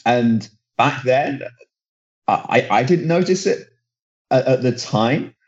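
Mężczyzna, entuzjastyczny reporter piłkarski, lekko stłumione nagranie. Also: male voice, mid-range voice, lively intonation, slow, dull audio. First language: not German; German is his second language. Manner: enthusiastic, rambling with restarts. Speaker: football reporter. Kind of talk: casual podcast chat.

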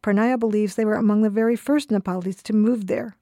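A woman's voice: medium pitch, steady tone, fairly quick, warm tone, dry recording, clean studio sound. A woman presenting scripted documentary narration for radio.

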